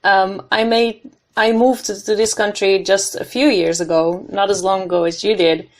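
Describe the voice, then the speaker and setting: A woman's voice: mid-range voice, fairly even intonation, moderate pace. A woman from the Netherlands with Dutch as her first language, hosting an interview and asking questions in a friendly way. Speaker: podcast host. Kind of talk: casual interview.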